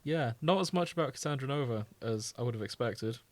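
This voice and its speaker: A man's voice: mid-range voice, lively intonation, fairly quick, a little mellow, no echo. A man co-hosting a podcast, chatting casually in a jokey, wry way. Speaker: podcast co-host. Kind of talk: casual chat.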